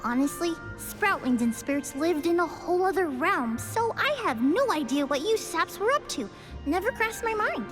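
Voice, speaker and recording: An actress playing a sassy, proud little sprite in a video game, with a cheeky, dismissive manner. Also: female voice, extremely high pitch, big pitch swings, normal speed, over music. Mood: musing, aloof.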